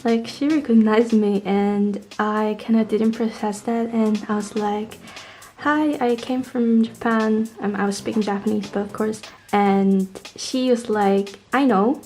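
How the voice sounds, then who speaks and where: Mellow young woman chatting, vlog style. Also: medium pitch, fairly even intonation, a little slow. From Poland. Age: young adult. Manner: chatty, informal. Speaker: vlogger. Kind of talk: casual storytelling to camera.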